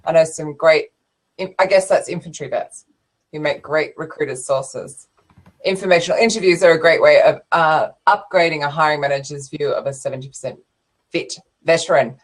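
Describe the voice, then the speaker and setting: A woman co-hosting a casual recruiting panel, chatty and quick to laugh. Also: sits low, low-quality recording. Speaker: co-host.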